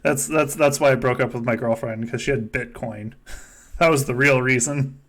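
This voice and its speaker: Male stream host, average pitch, casual and wry, given to profane little rants. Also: fairly even intonation, moderate pace, fairly bright tone. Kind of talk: casual joking chat.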